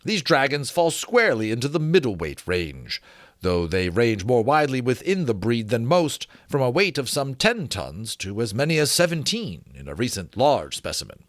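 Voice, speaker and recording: A man voicing a pompous, learned gentleman scholar in a dramatic storybook reading, formal and emphatic as he lectures. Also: medium pitch, very animated, moderate pace, dry recording, studio quality, close to an everyday voice. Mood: lecturing, emphatic.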